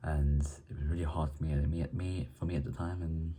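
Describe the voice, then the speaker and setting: Deep, mellow-voiced football fan rambling. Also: male voice, steady tone, normal speed, breathy edge. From Ireland. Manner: rambling, repeats and restarts words. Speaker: football fan channel host. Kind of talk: casual match preview monologue.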